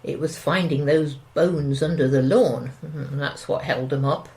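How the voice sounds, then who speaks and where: A woman's voice: sits low, a little slow, darkish tone. An actress playing a curtain-twitching suburban wife in an audio drama, gossipy, anxious and easily shocked, with a British accent and a hesitant, insinuating way of talking. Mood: reflective. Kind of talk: audio drama dialogue, naturalistic.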